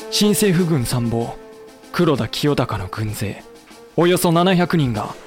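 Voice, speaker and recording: A man's voice: medium pitch, natural voice. A man acting a frank samurai vice-president, music behind. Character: Matsudaira Taro, frank samurai vice-president and narrator of the war. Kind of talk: Japanese historical audio drama acting with narration.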